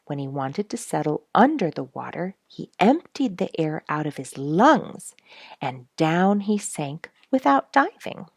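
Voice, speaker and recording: A woman reading a children's story aloud as a storyteller, with expressive, dramatic emphasis and some hushed phrasing. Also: low voice, a little slow, creaky, no echo, studio quality.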